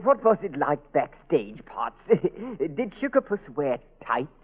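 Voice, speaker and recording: An actor playing an inquisitive, eager professor of about sixty in a 1940s radio comedy. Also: male voice, on the high side, big pitch swings, slow pace, husky, exaggerated voice. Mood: eager, nosy. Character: nosy, girl-curious sixty-year-old male professor. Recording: muffled, band-limited 1940s radio broadcast.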